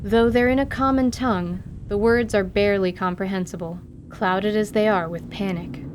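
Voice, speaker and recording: A woman reading scripted narration for a fantasy audio drama in a measured storyteller's manner. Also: mid-range voice, fairly even intonation, a little hiss or hum.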